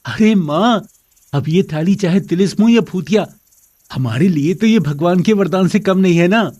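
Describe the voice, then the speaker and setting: Guy voicing a poor teen boy in a cartoon moral story, whiny n grumbly, highish voice, dry close sound. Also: normal speed, darkish tone, dry recording, muffled recording. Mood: excited. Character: poor fifteen-year-old scrap collector boy, carefree, grumbling son. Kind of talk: animated moral story voice acting.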